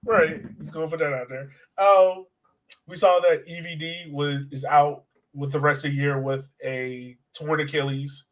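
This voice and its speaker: A man's voice: a little high, fairly even intonation, measured pace, poor audio. A man hosting a sports podcast in casual chat, with a colloquial, buddy-to-buddy way of talking.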